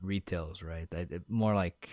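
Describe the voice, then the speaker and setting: Man on a podcast, lowish voice, keeps restarting his sentences, dry close mic. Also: steady tone, darkish tone, dry recording. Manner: halting, restarts a lot. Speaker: podcast co-host. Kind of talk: casual chat.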